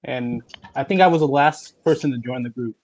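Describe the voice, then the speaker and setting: A man introducing himself as a freelance character designer on a video call, with brief low-key answers. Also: fairly quick, a little mellow, low-quality recording.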